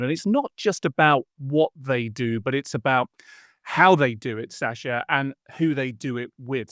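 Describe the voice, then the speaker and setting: A man's voice: mid-range voice, no echo. A man hosts a business interview podcast and chats with his guest in a friendly, conversational way, now and then restarting a phrase. His voice sits in a middle range. The sound is close and dry.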